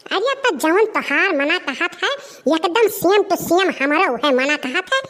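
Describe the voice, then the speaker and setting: A woman's voice: very high-pitched, expressive, a little slow, a bit bright, heavily acted. A woman voicing a clingy, devoted village sweetheart in a comedy cartoon, gushing sentimentally with an Awadhi accent. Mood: besotted, gushing.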